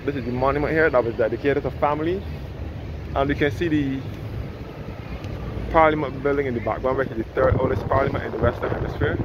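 Man narrating a windy walking tour. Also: mid-range voice. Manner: rambling, self-correcting guide. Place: outdoors on a sunny harbour boardwalk. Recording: windy outdoor recording, muffled.

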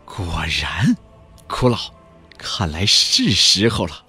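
A composed immortal master, voiced by a male narrator for a fantasy audiobook. He is calm and commanding, measured in delivery, with a husky edge. There is faint music underneath. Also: deep voice, voice pushed down, exaggerated voice. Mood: grave. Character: Zhang Fan, composed male immortal cultivator, aloof master.

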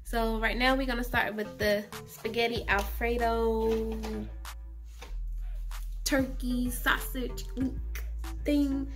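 A woman's voice: fairly high pitch, fairly even intonation, slow, long pauses, faint music behind. A woman in her twenties chatting informally to viewers as she cooks in a home kitchen, recorded on a handheld camera. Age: 20s. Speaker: home cooking vlogger. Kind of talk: casual cooking vlog chat. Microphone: handheld camera at arm's length.

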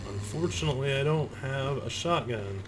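Animated man commentating a video game, noisy background. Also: mid-range voice, moderate pace. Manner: informal, profane, talking to himself. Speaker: gamer recording a playthrough. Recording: clear background noise, game ambience.

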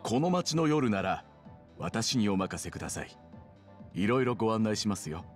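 Deep, lilting nightlife hustler. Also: male voice, very animated, moderate pace, soft background music. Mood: eager, welcoming. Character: smooth-talking, courteous male nightlife guide and wheeler-dealer. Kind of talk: Japanese video game cutscene acting.

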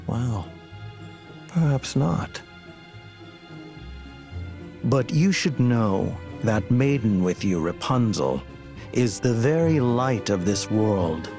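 A man's voice: mid-range voice, animated, slow, long pauses, darkish tone, faint music behind. A man playing a suave, menacing video game villain who speaks in a courtly, condescending, formal way. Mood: coolly condescending. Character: suave, menacing male villain of a dark organization. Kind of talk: video game cutscene acting.

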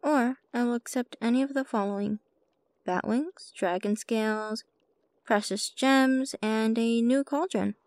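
A woman playing a sly, playful witch shopkeeper with a soft heart in an ASMR roleplay, coy and teasing as she addresses the listener. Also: fairly high pitch, measured pace, no echo. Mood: teasing, bargaining. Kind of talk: ASMR roleplay, scripted character monologue.

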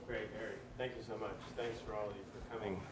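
A middle-aged professor introducing his student at a podium, speaking briskly and restarting his phrases. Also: lowish voice, flat delivery, background noise. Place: lecture hall at a podium. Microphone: podium microphone.

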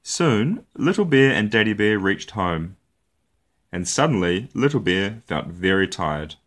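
A man with a low voice narrates a children's picture book. His delivery is deliberate and expressive, with lively swings in pitch, as a storyteller hosting story time. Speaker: storyteller, show host.

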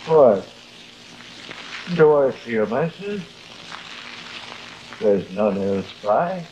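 A man's voice: medium pitch, very animated, very slow, warm tone. A man playing a middle-aged, guilt-tormented stage actor in a grandiose, theatrical old television drama manner. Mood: brooding. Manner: declamatory, dramatic. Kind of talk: theatrical 1950s television acting. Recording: muffled, hissy old television soundtrack.

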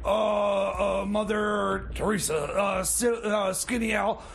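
A high, creaky, flustered radio sidekick. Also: male voice, fairly even intonation, slow, a bit bright, shouting, a little hiss or hum, heavily acted. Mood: anxious urging. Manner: stammering, hesitant, repeats himself. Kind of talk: comedy radio theater banter.

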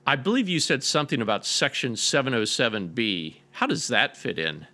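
A man's voice: medium pitch, animated, moderate pace, bright, forceful tone. A man playing a troubled, inquiring doctor seeking advice in a scripted radio drama. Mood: puzzled, polite. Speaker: doctor client character.

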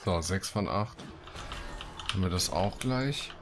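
Low-voiced man doing casual game commentary. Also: measured pace, background noise. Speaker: gaming video host. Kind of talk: casual let's play commentary, reading quests aloud. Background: game music.

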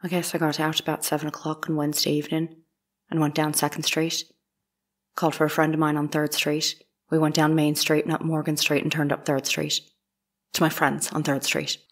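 A woman's voice: low-pitched, completely flat delivery, brisk. A woman playing a plain, guarded young housemaid giving terse, deferential testimony in a courtroom drama. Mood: guarded, matter-of-fact. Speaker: actor reading a trial witness. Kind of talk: dramatic reading of courtroom transcript.

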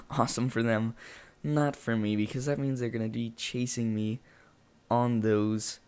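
A man casually commenting as he plays a video game, chatty and talking himself through each move, his voice gravelly after just waking up. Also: medium pitch, fairly even intonation, unhurried, darkish tone. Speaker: gameplay commentator. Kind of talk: casual let's-play commentary. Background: game music and sound effects.

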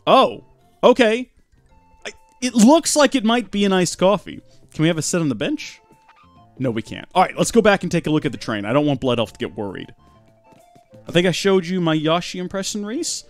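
Animated rambling man streaming a game. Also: high voice, a little fast, long pauses, soft background music. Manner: rambling deadpan joking, animated. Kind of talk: live gameplay commentary.

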